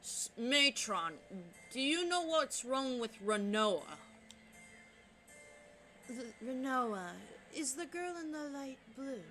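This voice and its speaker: A woman's voice: sits high, lively intonation, takes it slowly, pauses often, bright, forceful tone, soft background music, exaggerated voice. Woman reading as a blunt young mercenary. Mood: curt, urgent. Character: curt, stubborn young male mercenary.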